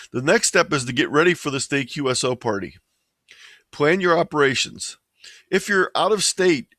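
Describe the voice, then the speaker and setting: A man delivers an informational slide presentation in a medium-pitched voice. His manner is plain and explanatory, like a webinar lecturer. The sound is dry, with very little room echo. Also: a bit bright.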